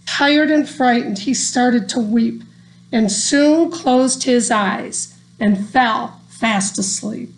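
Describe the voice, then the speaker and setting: A woman reading a rhyming children's story aloud in an expressive, deliberate storytelling manner. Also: a little high, slight background noise, low-quality recording. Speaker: storybook reader.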